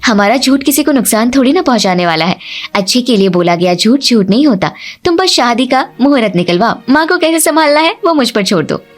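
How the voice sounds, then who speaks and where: A woman's voice: on the high side, expressive, a little fast. A woman voicing a clever, polite but insistent young daughter-in-law in a cartoon family story. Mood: firm persuading.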